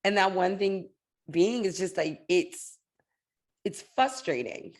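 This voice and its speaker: A woman hosting a podcast with a low, deep-set voice. She is animated and quick to chime in with agreement, talking in short bursts as she works out her thoughts. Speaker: podcast host. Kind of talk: conversational podcast interview.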